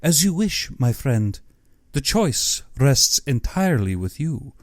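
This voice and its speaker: Audiobook villain: courtly aristocratic hunter general, played by a male narrator, high-swooping, unhurried, theatrical, gleefully menacing. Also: big pitch swings, no room sound, clean studio sound. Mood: gleeful, sinister. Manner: courtly, theatrical, savoring his words. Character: urbane, cultured aristocratic general, gleefully sinister big-game hunter.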